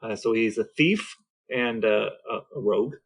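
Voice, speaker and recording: A man chatting casually as a podcast co-host, explaining things in a halting, conversational way. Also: lowish voice.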